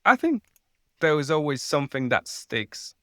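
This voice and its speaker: A man with a Polish accent, a non-native English speaker, talking as a podcast guest, halting and searching for words. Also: fairly high pitch, very animated, a bit bright, no room sound, clean studio sound. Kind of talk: podcast interview.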